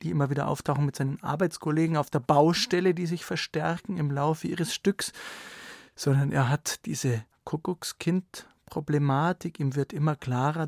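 A man moderates a radio conversation as host and interviewer. His voice sits a little high for a man, with plenty of creak, in a dry studio sound. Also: no room sound.

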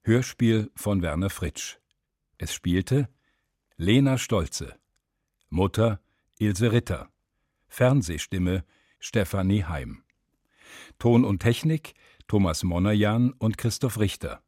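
A man reading scripted closing credits as a radio announcer, in a measured, formal delivery. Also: fairly low pitch, lively intonation, darkish tone, dry recording, clean studio sound. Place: dry studio.

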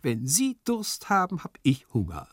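A scrawny, practical manservant from a literary tale, acted out by a male reader. His voice sits high and he speaks pertly, contradicting with good-natured certainty and mangled learned words. The sound is crisp and dry. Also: wildly swooping pitch, unhurried, no room sound, heavily acted. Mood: insistent.

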